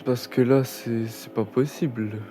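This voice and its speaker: A young man drawls slowly through casual gaming chatter, restarting his sentences and filling gaps with hesitations. His voice sits at a middling pitch, with a faint hiss of background noise behind it. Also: steady tone, dark tone. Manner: rambling, chatty with many fillers and restarts. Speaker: gaming channel host. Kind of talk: casual gameplay commentary.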